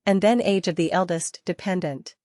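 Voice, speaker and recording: A woman with a slightly low voice leads a software training lesson. She explains things in small steps, restates key points and keeps putting questions to her learners. The sound is dry, with very little room in it. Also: steady tone, moderate pace, no room sound. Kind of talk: interactive step-by-step teaching.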